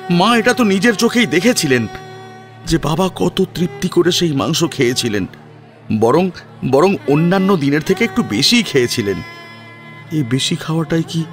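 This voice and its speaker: Expressive male story narrator, swinging pitch. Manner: emotive, theatrical. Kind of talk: dramatic audio story narration in Bengali.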